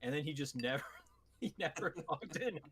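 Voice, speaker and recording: A man's voice: fairly high pitch, lively intonation, moderate pace, a bit bright, a little hiss or hum. A man hosting a casual podcast, chatting in a wry, bantering way. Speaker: podcast host.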